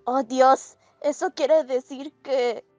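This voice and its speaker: A woman voicing an excitable, lovestruck, awkward teenage girl in a fan comic dub, dramatic and pleading. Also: sits high, slow, no room sound, lo-fi sound, faint music behind. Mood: flustered.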